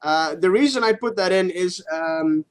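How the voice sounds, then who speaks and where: A man co-hosting a gaming show, chatting casually in a halting way, repeating words and swearing freely. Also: high voice, clear, resonant tone.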